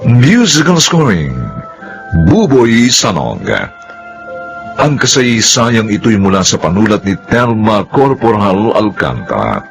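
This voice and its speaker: Male radio narrator: slow, sweeping lively delivery, low voice. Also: vocal fry. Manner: slow, solemn, theatrical. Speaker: announcer and narrator. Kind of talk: closing credits announcement. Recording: music bed behind.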